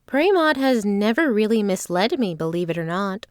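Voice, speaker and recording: A woman's voice: medium pitch, big pitch swings, moderate pace, smooth, no echo, clean studio sound, close to an everyday voice. A woman playing an earnest, confident traveling performer in a fantasy audio drama.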